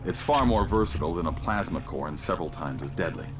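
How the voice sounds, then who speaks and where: An actor playing a composed, commanding mission guide in a sci-fi video game, speaking in a clipped, technical, instructive way. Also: male voice, low voice, expressive, fast, music in the background. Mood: measured, explaining. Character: composed, commanding man guiding a soldier through alien invasion. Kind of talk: scripted video game voice acting.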